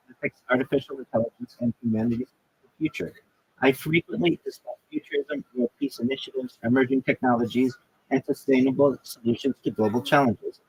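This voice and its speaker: Gravelly, husky man reading a self-introduction. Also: mid-range voice, no room sound, lo-fi sound. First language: not Hebrew. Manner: measured, list-like delivery with occasional restarts. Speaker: rabbi and livestreamer.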